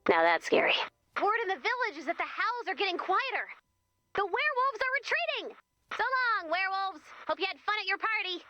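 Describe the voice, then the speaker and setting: A woman's voice: very high-pitched, very animated, brisk, pushed and bright, no room sound, lo-fi sound, full character voice. A woman voicing a perky, sassy robot assistant with sarcastic, quippy delivery in a video game. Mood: upbeat. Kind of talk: video game character voice lines.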